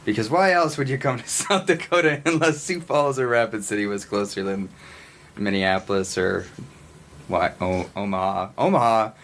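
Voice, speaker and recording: A man's voice: on the low side, moderate pace, fairly bright tone, slight background noise. An American man co-hosting a podcast in casual, rambling, joking banter with some swearing. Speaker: podcast co-host.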